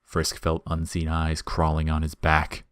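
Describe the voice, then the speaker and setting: A man narrating a dark dramatic story from a script. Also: a bass voice, completely flat delivery, measured pace, clear, resonant tone, no room sound, clean studio sound.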